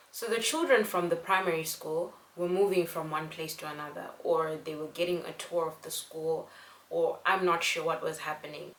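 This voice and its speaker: Young woman from zimbabwe, earnest vlog storytelling, lowish voice, kinda echoey room. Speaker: vlogger. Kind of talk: casual storytelling to camera.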